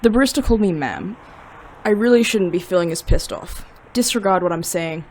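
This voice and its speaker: An actor playing a grumpy, sarcastic young demon, grumbling and deadpan in a fiction podcast. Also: mid-range voice, a little fast, a little hiss or hum, close to an everyday voice. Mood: sulky.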